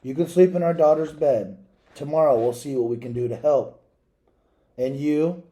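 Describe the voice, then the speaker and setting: A man voicing a caring, grave mother in a storybook, speaking in a slow, forceful way. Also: on the high side, close to an everyday voice, rough recording. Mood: reassuring.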